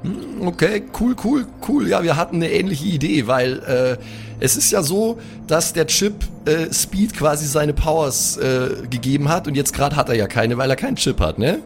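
A man's voice: a little high, lively intonation, normal speed, creaky, soft background music. A man playing a laid-back, eager young superhero guy who rambles in casual slang. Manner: casual slang, rambling, says Alter and Digga.

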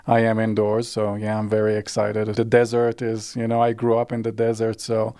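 Low-voiced calm man from Israel. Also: normal speed, clear, resonant tone, no room sound, studio quality. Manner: calm, easygoing, short answers. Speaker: hiking partner, cousin. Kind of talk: casual conversation. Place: outdoors at a campsite. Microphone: clip-on mics.

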